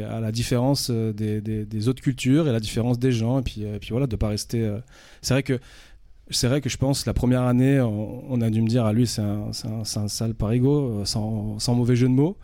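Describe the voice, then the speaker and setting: A man's voice: mid-range voice, fairly even intonation, normal speed, vocal fry, warm tone, no echo, clean studio sound. A man in his thirties from France, a radio guest chatting casually, hesitant with many fillers and restarts. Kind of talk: casual interview talk.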